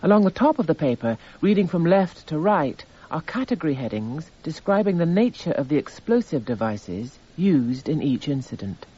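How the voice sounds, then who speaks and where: An actor playing an impassive narrator in a radio drama, reading out court evidence in a measured, factual way. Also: male voice, fairly high pitch, very animated, warm tone, husky, lo-fi sound. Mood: detached, factual.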